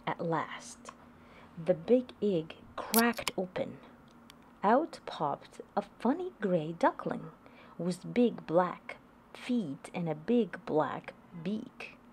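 Female storybook reader for young kids, unhurried and deliberate, slightly low pitch, faint noise behind. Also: big pitch swings, darkish tone, poor audio. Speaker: host reading picture books to children. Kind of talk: read-aloud storybook narration.

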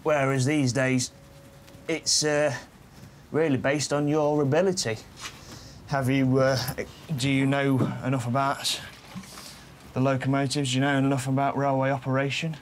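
A man's voice: mid-range voice, little pitch movement, a little slow, long pauses, slight background noise. Enthusiastic railwayman, hesitant. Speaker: heritage railway staff. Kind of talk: casual interview.

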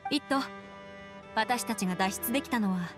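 A woman's voice: on the high side, moderate pace, music in the background, lightly acted. A woman playing an earnest, caring young female advocate in an anime game, articulate, with formal phrasing. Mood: caring, sincere.

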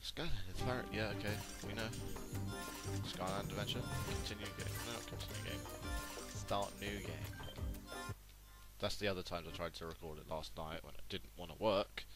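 A British man hosts a casual let's play in a low voice. Loud game music plays behind his commentary. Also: fairly even intonation, normal speed. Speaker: gaming video host. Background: video game music and dialogue.

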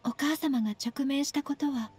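A woman voicing a polite, earnest, slightly shy young noblewoman in a Japanese anime game, speaking gently and formally. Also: high voice, normal speed, lightly acted. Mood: reflective. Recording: soft game music behind.

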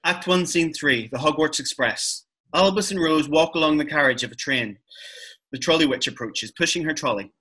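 A man's voice: on the high side, moderate pace, bright, forceful tone, slightly rough, low-quality recording. A man reading stage directions as scripted narration for a table read over video call. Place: room at home, video call.

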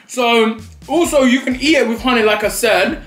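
A man's voice: very high-pitched, moderate pace, a bit bright, reverberant. A young man, chatting dramatically and playfully in a food vlog while eating at a table. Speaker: vlogger. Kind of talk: mukbang vlog chat. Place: small indoor studio set at a table.

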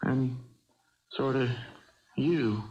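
An actor playing a dejected young ballplayer with a Southern hill-country accent and a folksy drawl, in a 1970s radio drama. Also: male voice, medium pitch, extremely slow, darkish tone. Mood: ashamed, dejected. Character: dejected young male ballplayer from mining hills. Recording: noisy dull old radio recording.

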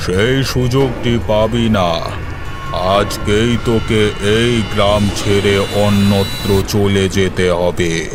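A man plays a stern village exorcist in a horror cartoon. He speaks low and deliberately slowly, with firm command. Background noise is clearly audible. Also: fairly even intonation. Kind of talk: dramatized horror story dialogue.

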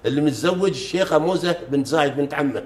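A middle-aged man, a folk poet chanting verses in measured recitation during a casual sit-down talk in a living room, on a lapel mic. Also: on the high side, darkish tone. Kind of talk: reciting folk poetry in conversation. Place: living room sofa, indoors. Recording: roomy echo.

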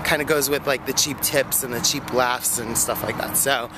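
A man's voice: medium pitch, fairly even intonation, normal speed. A man in his thirties chatting casually into a handheld phone while walking along a park path, rambling with fillers and light laughs. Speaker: vlogger. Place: outdoor park path near a street. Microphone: phone held at arm's length. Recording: loud outdoor traffic noise.

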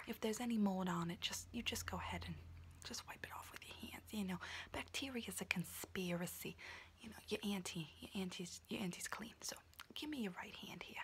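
A woman's voice: mid-range voice, fairly quick, a bit bright, close to an everyday voice. Woman whispering as a rambling paranoid auntie. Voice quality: whispered throughout. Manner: rambling, repeats herself, pet names. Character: unhinged conspiracy-minded auntie doing nails. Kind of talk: ASMR roleplay whispering.

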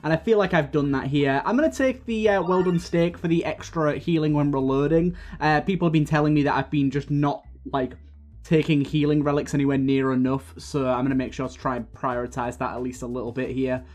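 A man with a slightly raspy voice from a coming cold, chatting casually as he plays and comments on a video game, thinking aloud with plenty of fillers. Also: fairly high pitch, steady tone, fairly quick, darkish tone. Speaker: gaming video host. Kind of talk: casual let's-play commentary. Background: faint game music.

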